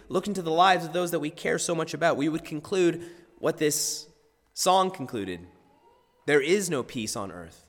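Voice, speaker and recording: A male pastor with a husky, hoarse voice that still sits a little on the high side, delivering a conversational sermon. He mixes scripture reading with easygoing, self-deprecating asides. His words ring out with a long reverb, as in a large church sanctuary. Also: a bit bright. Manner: conversational, self-deprecating humor, occasional restarts.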